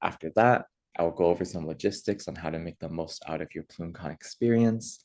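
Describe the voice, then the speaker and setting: A low male-sounding voice hosting a conference conversation in an earnest, measured way. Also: a little mellow. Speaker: conference host and interviewer. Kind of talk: facilitated plenary conversation, opening remarks.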